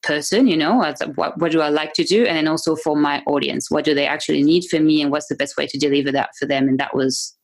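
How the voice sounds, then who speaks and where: Low-voiced woman with a French accent. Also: fairly even intonation, fast talker, few pauses. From France. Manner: chatty, laughs often, many fillers and restarts. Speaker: guest, membership business owner. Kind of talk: podcast interview.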